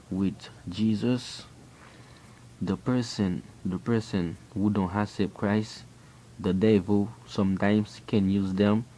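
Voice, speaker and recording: A man from Haiti with a Haitian accent, telling a personal faith testimony in an earnest, halting way with frequent restarts. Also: sits low, dark tone, a little hiss or hum. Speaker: person giving a religious testimony.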